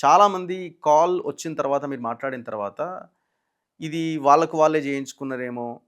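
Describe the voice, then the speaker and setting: A man's voice: on the high side, fairly quick, fairly bright tone, smooth. A man interviewing in a conversational, probing way. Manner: probing, interjects short acknowledgements. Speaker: journalist interviewer.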